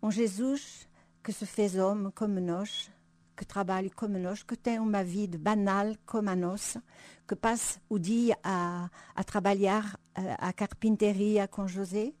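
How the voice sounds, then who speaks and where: Slow, thoughtful woman. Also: mid-range voice, steady tone, a little mellow, no room sound. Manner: thoughtful, searching for words, frequent "hein?" tags. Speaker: religious sister, radio guest. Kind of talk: reflective conversational explanation.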